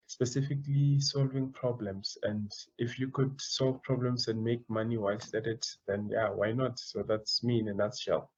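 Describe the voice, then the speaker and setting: A man's voice: mid-range voice, darkish tone, highs cut off. Earnest young man. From South Africa. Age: young adult. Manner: earnest, repeats words while searching for phrasing. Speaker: guest, student entrepreneur. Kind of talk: interview answers.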